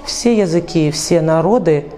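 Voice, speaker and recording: Low, mellow-voiced middle-aged woman. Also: unhurried, a little hiss or hum. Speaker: deputy school director. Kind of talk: interview answer. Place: indoors, small school room. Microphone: lapel.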